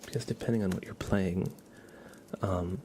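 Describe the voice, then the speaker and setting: Male ASMR live streamer, low and mellow, soft with slips into whisper, informal halting explainer, slight noise behind. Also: fairly even intonation.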